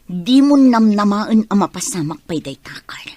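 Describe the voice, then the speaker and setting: A woman's voice: medium pitch, expressive, moderate pace, mellow, dry recording, close to an everyday voice. A woman playing a hurt, fed-up partner in a radio drama quarrel, reproachful and pleading.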